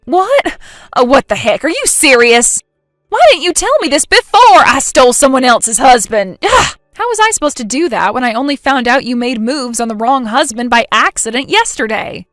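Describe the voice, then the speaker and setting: A woman's voice: fairly high pitch, animated, brisk, hardly any pauses, a bit bright, no room sound, studio quality. A woman voicing a brash young woman caught out after an affair, who whines, pleads and flares up in outrage. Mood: outraged. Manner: whiny, pleading, easily outraged.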